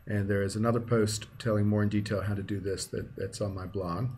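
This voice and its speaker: A man with a fairly low voice talks through a casual screencast tutorial. He explains each step as he works, with faint noise and some keyboard typing and clicking behind him. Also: flat delivery, a little fast, darkish tone. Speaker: tutorial presenter.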